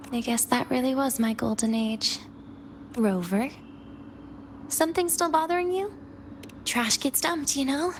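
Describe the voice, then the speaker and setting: A wistful young woman from an anime-style game. Her voice sits on the high side and she speaks with self-deprecating softness. Faint background noise sits behind her. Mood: gently teasing.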